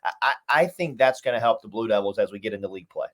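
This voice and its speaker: A man's voice: on the low side, lively intonation, fast talker, a bit bright. A man speaking as a sports announcer and interview guest, talking conversationally and at length, repeating and listing points for emphasis.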